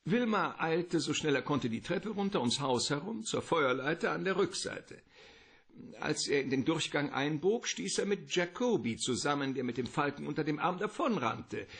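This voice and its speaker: Gravelly middle-aged crime boss, calculating, courtly and wordy, swooping intonation, radio play. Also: male voice, a little high, fairly bright tone, full character voice. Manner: elaborate, courtly, theatrical politeness. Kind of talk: radio play detective drama acting.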